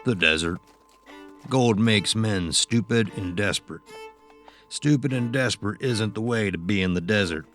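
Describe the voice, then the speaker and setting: Man playing a cynical low-voiced western outlaw. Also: normal speed, a bit bright, soft background music, close to an everyday voice. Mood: grim, cynical. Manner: folksy, rough frontier speech. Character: cynical, foul-mouthed male outlaw in a western. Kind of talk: audio horror drama acting.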